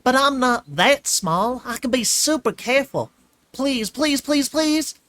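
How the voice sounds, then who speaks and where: A reader voicing an excitable little filly pony girl, chatty and pleading in a squeaky voice. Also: measured pace, dry recording. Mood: excited. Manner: squeaky, shrill and bouncy.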